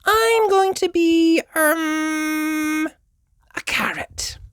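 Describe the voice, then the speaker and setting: A woman voicing an excited, boastful little animal child in a children's storybook, exclaiming and drawing out words. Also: very high voice, drawn-out words, dry recording, clean studio sound, pitched above the performer's own voice, full character voice. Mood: proud. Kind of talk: children's storybook character voices.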